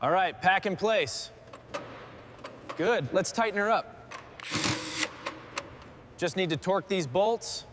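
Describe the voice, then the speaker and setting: A man's voice: sits high, lively intonation, takes it slowly, pauses often. A man working on a mechanic crew, making short work remarks to a coworker. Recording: noisy workshop with clicks and vehicle.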